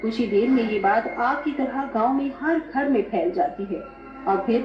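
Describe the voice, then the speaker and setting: A woman's voice: on the high side, normal speed. A woman narrating a scripted dramatic story. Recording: echoey, dull, music behind.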